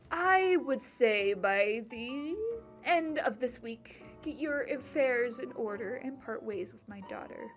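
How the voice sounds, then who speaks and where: A woman performs a haughty upper-class mother in a dramatic monologue. She is coldly disapproving and deliberate, her voice on the higher side, over a music bed. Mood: curt, dismissive. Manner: condescending, deliberate.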